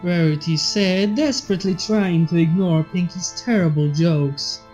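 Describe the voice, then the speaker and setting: A woman's voice: fairly low pitch, normal speed. A young adult woman reading a story aloud as a narrator. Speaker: fanfiction reader and channel host. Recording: slightly dull audio, soft background music.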